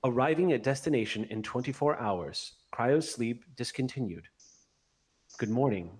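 A man's voice: medium pitch, normal speed, husky, lightly acted. A man voicing an impassive shipboard computer in a sci-fi roleplay game, making formal, clipped announcements.

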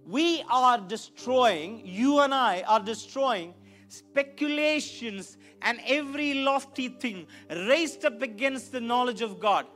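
A man's voice: very high voice, takes it slowly, fairly bright tone, soft background music. A middle-aged man preaching a church sermon into a handheld microphone, emphatic and repeating phrases to drive them home. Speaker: pastor preaching. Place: church stage, indoors.

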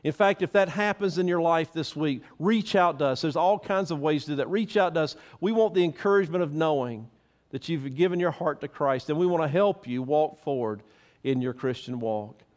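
A man's voice: a little high, a little fast, a little mellow. A middle-aged man preaching from a church stage, fervent and emphatic, wearing a headset mic. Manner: fervent preaching with rising emphasis, stands and gestures. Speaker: pastor. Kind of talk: sermon and closing prayer.

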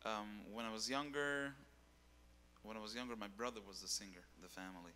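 Young man, singer as guest, bright tone, rambling delivery, handheld mic in a reverberant hall. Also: fairly low pitch, fairly quick, a little hiss or hum. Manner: rambling, repeats words while searching. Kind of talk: casual interview on stage. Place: dark stage with keyboard.

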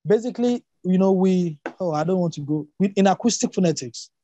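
Male native Yoruba speaker, high voice, soft mellow tone, dry sound, emphatic, repetitive academic explaining. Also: brisk, slight background noise. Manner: emphatic, repeats and rephrases, poses rhetorical questions. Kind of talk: spontaneous academic discussion.

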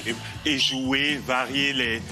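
A man's voice: mid-range voice, level tone, slow pace. A man in his thirties coaching exercise in a playful, encouraging way while moving around. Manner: physically active while talking. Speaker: fitness coach on a TV parenting show. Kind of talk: demonstrating exercises to a mother and young child. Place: living-room style TV studio. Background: music.

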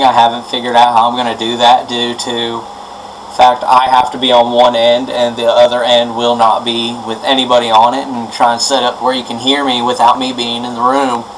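A man presenting an informal hands-on electronics tutorial in a halting, improvised way, recorded on amateur camera audio at a workbench indoors. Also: mid-range voice, a bit bright, a little hiss or hum, rough recording.

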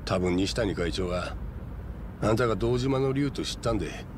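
An actor playing a sly, tough middle-aged yakuza lieutenant in a video game, with a Kansai accent and a mock-polite, insinuating drawl. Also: male voice, lowish voice, expressive, moderate pace, close to an everyday voice. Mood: sly, needling. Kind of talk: video game cutscene acting. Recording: noisy vehicle ambience.

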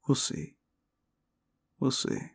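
A man playing a condescending, reluctant male demon in an ASMR roleplay, drawling wearily. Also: extremely slow. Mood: smug, taunting. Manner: condescending, drawling, weary sighs.

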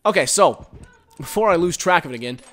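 A man's voice: a little high, animated, a little fast. Rambling young man on a headset. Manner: rambling, reacts loudly to the game. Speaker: gaming commentator. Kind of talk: casual gameplay commentary.

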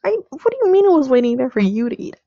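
A woman's voice: sits high, big pitch swings, rapid-fire, gravelly, dry recording, highs cut off, full character voice. A woman playing a sheepish young boyfriend in a roleplay audio drama, stammering and pleading apologetically. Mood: panicked pleading.

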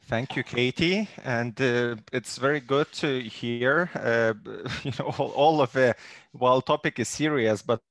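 A man's voice: mid-range voice, measured pace, fairly bright tone, dry recording. Man moderating with many fillers. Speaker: webinar moderator. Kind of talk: online panel moderation. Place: video call. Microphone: headset.

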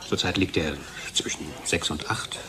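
An actor playing a testy, sardonic research chemist who argues and explains dryly, in a German radio drama. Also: male voice, deep voice, moderate pace, whispered, natural voice. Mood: explaining. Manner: dry, argumentative, explanatory. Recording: clearly noisy background ambience.

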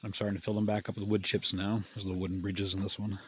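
A man in his fifties with a low voice ambles through a wooded hillside garden and talks in a relaxed, plain-spoken way. He holds a phone out at arm's length as he walks. A faint outdoor hiss sits under his voice. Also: fast. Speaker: permaculture farmer. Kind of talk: casual walking garden tour. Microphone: phone held at arm's length.

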